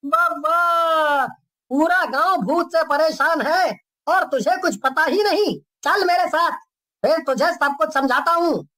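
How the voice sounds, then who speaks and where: Woman voicing a very high-pitched skeptical village fellow. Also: slow, bright, forceful tone, heavily acted. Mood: triumphant scolding. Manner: confident, challenging, mocking. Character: bold skeptical male village shopkeeper who exposes frauds. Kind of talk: cartoon story voice acting.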